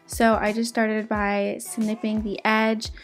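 A woman's voice: a little high, completely flat delivery, slow, faint music behind. A woman doing a casual, chatty crafting voice-over for her vlog. She is sick, and her voice cracks now and then. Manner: chatty, upbeat, fillers. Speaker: DIY vlogger. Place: home, indoors.

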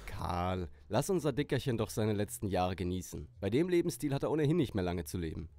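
A man's voice: fairly low pitch, big pitch swings, brisk, dark tone, no room sound. A man playing a bossy, aggressive, smug teenage leader in a parody audio play.

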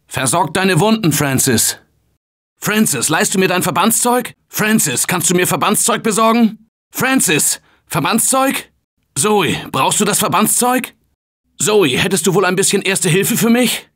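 An excitable zombie-game survivor, who calls out to his squad with a lively, swooping delivery. His tone is bright and forceful, and he keeps an unhurried pace even when shouting warnings. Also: high-pitched, full character voice. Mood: coaxing. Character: upbeat male office-worker survivor in a zombie game. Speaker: game character. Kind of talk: German-dubbed video game voice lines.

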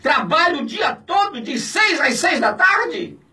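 A Brazilian pastor in his fifties rails in an indignant, preaching tone, now shouting, now dropping low. His voice sits high and swings wildly in pitch. He sounds a little off-mic in a small radio studio. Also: sing-song, takes it slowly, far from the mic. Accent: Brazilian Portuguese. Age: 50s. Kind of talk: impassioned radio commentary. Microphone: studio mic on a boom, filmed from a distance.

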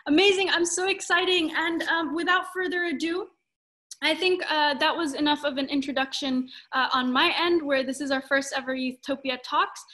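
A young woman with a very high, bright, forceful voice hosts a panel on a video call. She speaks effusively and expressively, introducing guests with enthusiasm from a room at home. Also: fairly even intonation, moderate pace. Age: young adult. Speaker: host of a panel talk. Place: dim room at home, indoors.

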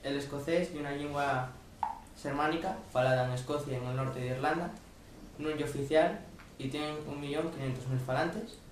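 A teenage boy giving a steady, recited school presentation. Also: a little high, normal speed, very echoey, distant mic, slight background noise. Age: teens. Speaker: student presenter.

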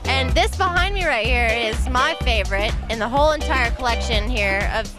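A woman's voice: fairly high pitch, expressive, normal speed. A young woman hosting and interviewing guests at a night party outdoors, gushing with praise into a handheld mic. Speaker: event host interviewer. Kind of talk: upbeat red-carpet interviewing. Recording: loud party music and crowd behind.

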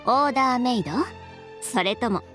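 An actress playing a confident, sharp-tongued young fashion designer in a video game, brisk, matter-of-fact and dryly teasing. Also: female voice, a little high, lightly acted. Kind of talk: video game voice acting in Japanese. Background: game music.

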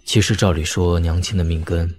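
Man acting a low-voiced, brooding young lord. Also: fairly even intonation, a little fast, a little mellow, slight background noise, natural voice. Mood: tender, rueful. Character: young lord, brooding elder brother, weary and tender. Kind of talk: audio drama dialogue in Mandarin wuxia serial.

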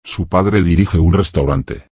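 A man reading short phrases for learners, slow and deliberate, one phrase at a time. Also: sits low, darkish tone, breathy edge, dry recording. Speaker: phrase reader.

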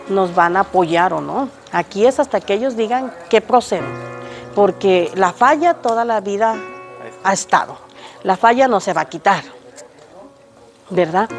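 An older woman with a Mexican Spanish accent, answering a reporter's questions outdoors on the street in front of her home. She speaks in a hesitant, halting way with frequent restarts. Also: medium pitch. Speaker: interviewed resident. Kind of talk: street interview. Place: outdoors on a street by a house gate.

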